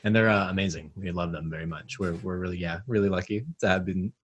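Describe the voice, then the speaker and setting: Low, husky young American man. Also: fairly even intonation, quick pace, fairly bright tone, dull audio. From South Carolina. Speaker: band member, interview guest. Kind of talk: casual interview chat.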